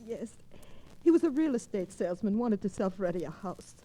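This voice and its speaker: A woman playing an anxious, plain-spoken American boxer's wife with halting delivery in old radio drama style. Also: mid-range voice, very animated, dark tone. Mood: defensive. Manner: halting, unpolished speech. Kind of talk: 1940s-50s radio drama acting.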